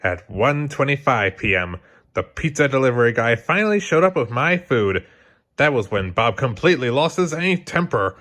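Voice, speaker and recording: A man reading a children's storybook aloud as scripted, expressive narration. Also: mid-range voice, moderate pace. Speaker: storybook reader.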